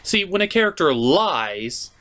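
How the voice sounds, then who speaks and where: Super animated comedy reviewer host, sarcastic and theatrical, voice goes all over the place. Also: medium pitch, a little slow. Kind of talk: comedic scripted cartoon review.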